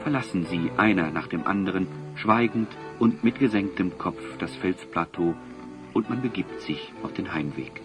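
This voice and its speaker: Male narrator, fairly low voice, measured storytelling, radio play, slightly muffled lo-fi recording. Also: music in the background. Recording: dull lo-fi cassette-era recording.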